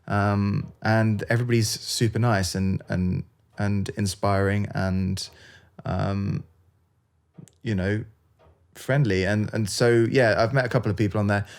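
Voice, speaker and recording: A man's voice: on the low side, little pitch movement, a little slow, gravelly. A man, a composer, chatting casually as an interview guest, with lots of fillers and restarts. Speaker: video game composer, guest.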